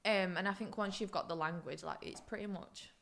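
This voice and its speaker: Woman in her twenties, from Poland, gravelly vocal fry, bubbly and giggly. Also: mid-range voice, a bit bright. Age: 20s. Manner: bubbly, laughs often, quick asides. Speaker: guest. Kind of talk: casual chat.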